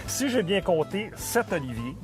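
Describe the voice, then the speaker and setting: A man's voice: high voice, very animated, moderate pace, music in the background. A man in his forties with a Québécois French accent, interviewing a comedian in a laid-back chat and laughing often.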